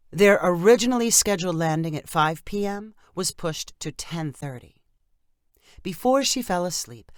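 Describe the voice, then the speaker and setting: A woman's voice: sits low, no room sound, studio quality. A woman reading a scripted short story as its narrator, for people learning English.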